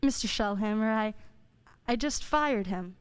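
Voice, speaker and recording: A woman playing a brisk, worried department store executive in a radio play, earnest and insistent. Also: medium pitch, lively intonation, clear, resonant tone, lightly acted.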